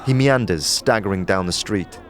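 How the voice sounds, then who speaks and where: A man narrating a fantasy audio drama from a script, in a measured, dramatic storytelling manner. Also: low voice, a crowd in the background. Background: music.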